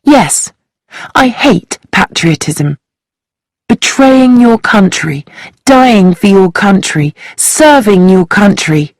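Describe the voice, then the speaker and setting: A woman's voice: mid-range voice, lively intonation, takes it slowly, dry recording, voice pushed up. A woman playing a bitter, defiant young woman. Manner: passionate, scornful outbursts.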